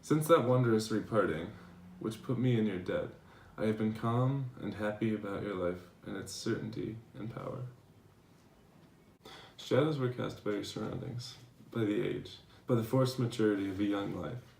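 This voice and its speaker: Guy acting as a tender philosopher reading love letters, earnest n gravelly, kinda far from the mic, roomy with faint noise. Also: lowish voice, moderate pace, a little mellow. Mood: reflective, reassuring. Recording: echoey room, distant mic, faint noise.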